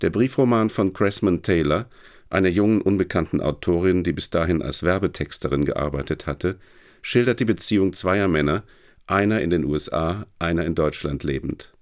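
A man with a low voice narrates as a radio play announcer. His scripted delivery is measured, articulate and factual.

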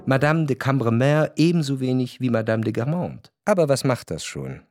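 A male narrator reads literary prose with deliberate, measured phrasing. His mid-pitched voice is recorded dry and close in a studio, with soft music behind it. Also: very animated, no room sound. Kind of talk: literary scripted narration for radio drama. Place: radio studio.

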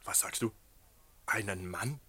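Husky, blustering flatmate. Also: male voice, on the low side, unhurried, no echo, full character voice. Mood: sleepy, astonished. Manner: theatrical, blustering. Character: sleepy, indignant, hot-headed actor flatmate. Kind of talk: German radio play acting.

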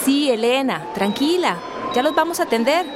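High-voiced kindly woman doctor, noisy. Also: expressive, normal speed. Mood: reassuring. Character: brisk, kindly woman doctor taking charge. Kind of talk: Spanish-language radio drama acting.

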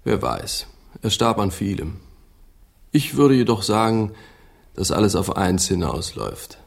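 An actor playing a cynical English physician of centuries past in a German radio drama, delivering dry, mocking pronouncements. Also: male voice, on the low side, sing-song, measured pace, vocal fry, full character voice. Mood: sardonic. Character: cynical, sardonic English physician of Shakespeare's day.

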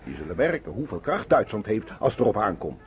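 A man's voice: lowish voice, big pitch swings, fast, muffled recording, slight background noise. A man acting a proud, stubborn middle-aged father in a radio drama, declaiming vehemently. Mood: indignant. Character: proud, stubborn German socialist father defending the war.

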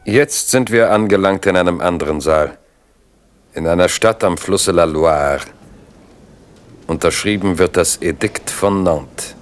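A man narrating a historical chronicle in a literary, measured and vivid radio drama style. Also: deep voice, slight background noise. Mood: narrative. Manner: measured, vivid present-tense telling.

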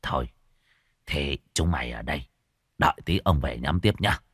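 A man doing a gruff, crude old village exorcist. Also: low voice, sing-song, normal speed, pushed and bright, dry recording, clean studio sound, exaggerated voice. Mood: gruff grumbling. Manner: coarse, grumbling.

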